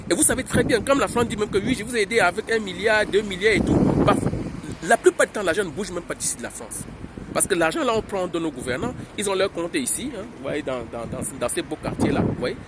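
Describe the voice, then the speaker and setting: A man from Cameroon in his middle years rallies viewers in a fervent, repetitive vlog. His voice rides high and swings widely as he exhorts. It was caught on a phone outdoors, with clear street and traffic noise behind him. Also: expressive, quick pace. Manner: impassioned, exhorting, repeats phrases. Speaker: YouTuber. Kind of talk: political vlog monologue.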